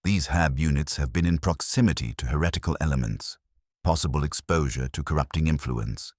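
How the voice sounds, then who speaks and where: Man playing female warrior-zealot commander, stern, cold, commanding, very low voice, dark audio story. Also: a little fast, no room sound, studio quality.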